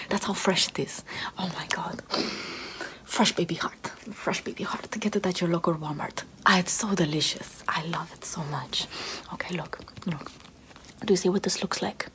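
A woman voicing a smug, egg-laying, baby-eating vampire mother in a breathy whisper with broken baby talk and lots of repetition. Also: fairly low pitch, close to an everyday voice, rough recording. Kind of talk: whispered comic roleplay.

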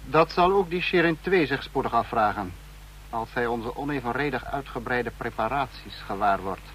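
An actor playing a cold, calculating male security chief in a vintage radio drama, speaking in a measured, commanding way. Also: medium pitch, expressive, fairly bright tone, slight background noise, close to an everyday voice, poor audio. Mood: cold, brooding. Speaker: spymaster in a radio play.

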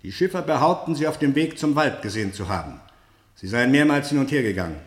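An actor playing a stern, sceptical interrogator in a radio play, formal and insistent, with deliberate, pointed questioning. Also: male voice, mid-range voice, big pitch swings, roomy sound, close to an everyday voice. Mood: measured, probing. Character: stern, probing male interrogator, sceptical and relentless. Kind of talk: German radio play acting, interrogation drama.

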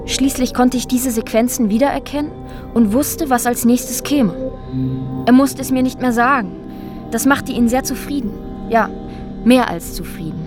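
An actress playing a woman who recounts a strange, disturbing ordeal in a reflective, halting, matter-of-fact radio drama monologue. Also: on the high side, close to an everyday voice. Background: music under the voice.

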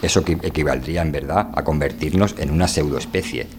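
Radio drama role: calm, inquisitive male scientist from an anarchist society played by a man, deep voice, measured delivery, slight background noise. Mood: thoughtful, questioning.

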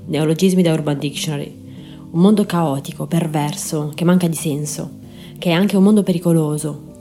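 A woman's voice: low-pitched, warm tone. A woman in her twenties hosting a podcast, reading a scripted narration. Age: 20s. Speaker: podcast host and writer.

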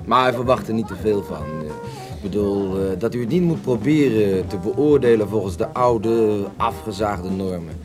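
A man's voice: on the low side, moderate pace, close to an everyday voice. An actor playing an earnest, self-important male beatnik jazz poet in a radio drama, explaining things pretentiously. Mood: earnest, lofty. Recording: loud jazz club music behind dialogue.

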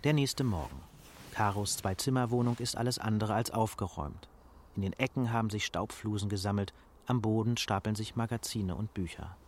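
A man narrating a scripted radio drama as a sober literary storyteller, measured and dryly ironic. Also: on the low side, a little hiss or hum, natural voice. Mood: matter-of-fact.

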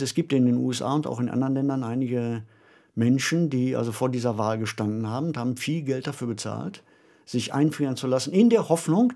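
A man talking as an expert on a podcast, explaining in a measured, didactic way and stressing key words. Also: mid-range voice, a little mellow. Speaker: expert discussion partner on a podcast. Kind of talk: conversational explanatory talk.

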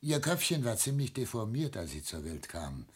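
A man's voice: medium pitch, very animated, moderate pace, natural voice. An actor playing an embittered, proud father of seventy in a classic German radio drama, declamatory and bitterly sarcastic. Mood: cold sarcasm. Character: embittered seventy-year-old father, proud, wounded patriarch.

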